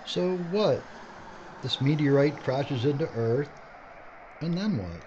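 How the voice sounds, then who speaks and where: A man's voice: on the high side, animated, takes it slowly, warm tone. An actor, a man, playing a blunt, sarcastic, skeptical crew member in an audio drama. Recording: muffled, noisy background ambience.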